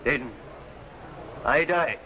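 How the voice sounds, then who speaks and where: A man's voice: mid-range voice, drawn-out words, pushed and bright. An actor playing a proud, defiant archer and father, speaking solemn archaic lines in old adventure serial style. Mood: indignant. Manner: solemn, declamatory archaic speech. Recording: noisy old broadcast recording.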